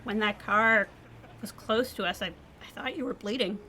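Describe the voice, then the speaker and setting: A woman's voice: mid-range voice, moderate pace, pushed and bright, slight background noise, natural voice. A woman playing a sardonic, weary and emotionally raw young woman in a scripted audio drama. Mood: shaken, sardonic. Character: defiant, weary young woman, sarcastic survivor. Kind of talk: scripted audio drama acting with first-person narration.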